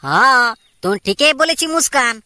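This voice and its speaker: A man acts out a young secret lover in a homemade cartoon. The voice is very high, bright and dry, with a Purulia Bengali accent. He comes across as cocky and insistently plotting. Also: sing-song, normal speed, dry recording, full character voice. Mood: scheming. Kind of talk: amateur cartoon dialogue.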